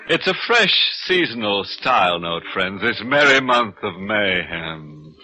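Casting: male macabre radio host, lowish and very bright, sardonic and theatrical, vintage broadcast. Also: poor audio. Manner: theatrical, sardonic, drawn-out delivery. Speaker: mystery show host. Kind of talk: macabre, tongue-in-cheek 1940s radio hosting. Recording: old radio recording.

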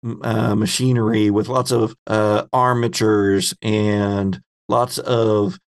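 A man hosting a tabletop horror game as game master, narrating scenes descriptively with halting pauses. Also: fairly low pitch, little pitch movement.

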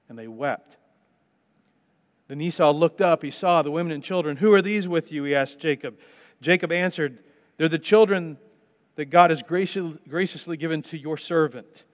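A pastor preaching a sermon, retelling a scripture story with dramatic pauses and swings between loud and hushed delivery. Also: male voice, fairly high pitch. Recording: muffled sound with long reverb in a large hall.

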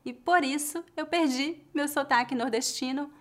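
Brazilian woman teaching, são paulo accent, slightly high voice, calm and clear. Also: moderate pace. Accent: São Paulo Portuguese. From Northeast Brazil. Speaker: language teacher. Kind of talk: scripted educational narration.

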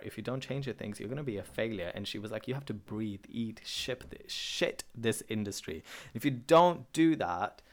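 A man's voice: mid-range voice, a bit bright. A man whose first language is Urdu, hosting a podcast and talking candidly in a rambling, emotional personal monologue. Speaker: podcast host. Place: London.

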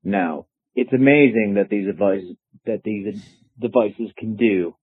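A man leaving a recorded voicemail, ranting sarcastically and deadpan. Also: lowish voice, measured pace, husky, no echo. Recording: tinny phone-line voicemail.

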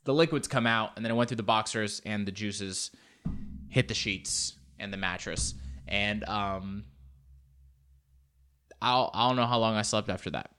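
A man in his late twenties telling a comedic story in a rambling, casual way, swearing often. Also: on the low side. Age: 20s. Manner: rambling, animated, swears a lot.

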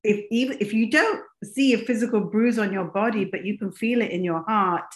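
A woman's voice: medium pitch, a little fast. A middle-aged woman, a life coach telling her own story as an interview guest in an earnest, open way. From raised in England. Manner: earnest and open, speaks frankly. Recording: echoey room.

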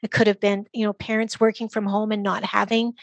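Female expert interviewee, medium pitch, conversational and explanatory, very dry recording. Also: flat delivery, brisk, no room sound. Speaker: literacy program guest expert.

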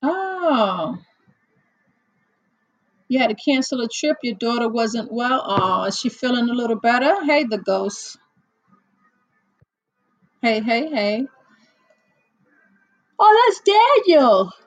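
A middle-aged woman hosting a casual livestream chat, rambling and chatty with lots of fillers and laughs, a little hoarse as she loses her voice. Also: fairly high pitch, sing-song, slow pace, long pauses, dry recording, low-quality recording. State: losing her voice, slightly hoarse. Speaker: livestream host. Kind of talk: casual chat with live viewers.

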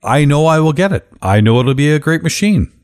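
A man hosting a casual podcast chat, with a deep voice and a joking, blunt way of talking that drops casual swearing. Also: lively intonation, a little fast, a little mellow, smooth. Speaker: podcast host.

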